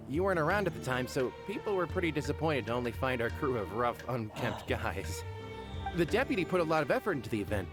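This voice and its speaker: A man playing a forthright, boyish young mercenary in a fantasy game, speaking informally and expressively. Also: on the high side.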